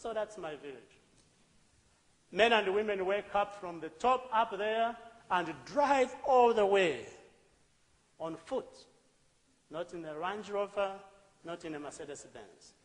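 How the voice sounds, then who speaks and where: A middle-aged man with a Ugandan accent speaks earnestly and deliberately to an audience. His voice sits fairly high, and it rises in emphatic bursts. A long reverb from a big hall hangs around every word. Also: animated, a bit bright. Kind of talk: public talk.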